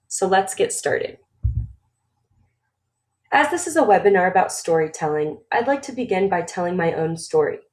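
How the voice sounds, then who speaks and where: A woman's voice: mid-range voice, a little mellow. Young American woman reading a webinar talk. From United States. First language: Romanian. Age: 20s. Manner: formal, read-aloud delivery. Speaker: webinar presenter. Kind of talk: scripted presentation.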